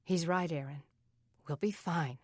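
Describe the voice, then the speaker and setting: A tender foster mother speaking softly to a frightened child, read by a woman in her own low voice. Her words are gentle and quiet. The sound is close and dry. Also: measured pace, husky, no room sound. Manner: soft, tender.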